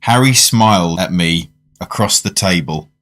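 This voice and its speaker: Actor as a low-voiced, courteous gentleman diner. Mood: reflective. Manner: courteous, measured, formal wording. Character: polite, curious gentleman diner recounting his tale. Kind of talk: radio drama narration and dialogue.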